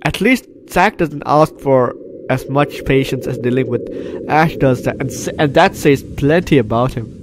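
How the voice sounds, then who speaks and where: A man's voice: medium pitch, moderate pace, noisy background. Jokey male gamer, sick with flu. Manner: jokey, repeats phrases, banters with characters. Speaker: gaming YouTuber. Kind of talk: let's play commentary, reading game text aloud.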